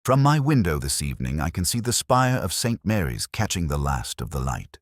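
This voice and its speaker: Man playing a very deep, brooding detective inspector. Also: big pitch swings, no room sound, clean studio sound. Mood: brooding, wistful. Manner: literary, measured, solemn. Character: melancholy, brooding older male English police inspector, reflective. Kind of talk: scripted first-person radio drama monologue.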